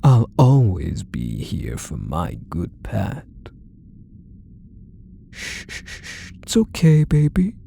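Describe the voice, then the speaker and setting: A man's voice: lowish voice, wildly swooping pitch, slow, gravelly, warm tone, slight background noise, full character voice. A man playing a possessive, doting kidnapper husband in an intimate ASMR roleplay, cooing and coaxing with pet names. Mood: tender, cooing. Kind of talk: ASMR roleplay audio drama, intimate monologue to the listener.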